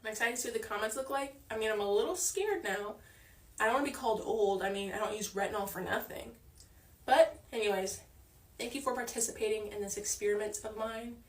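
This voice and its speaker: 21 yr old girl chatting to her followers, highish voice, really echoey and away from the mic. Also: fairly quick. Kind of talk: casual social media video to camera. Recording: echoey room, far from mic.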